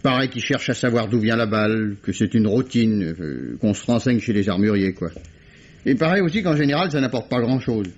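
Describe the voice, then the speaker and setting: An actor playing a chatty stagehand who talks in a casual, offhand, gossipy way, in an old radio play. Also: male voice, medium pitch, animated, brisk, breathy edge. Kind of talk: 1950s French radio drama acting. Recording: muffled old radio broadcast.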